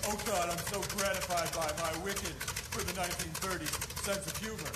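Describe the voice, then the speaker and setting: A man's voice: high-pitched, steady tone, brisk, natural voice. A man playing a smug, stammering heckler in a 1930s-style radio comedy. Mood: smug, mocking. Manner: stammering, pleased with himself. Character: smug, heckling young male wise guy. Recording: distant, reverberant, very noisy.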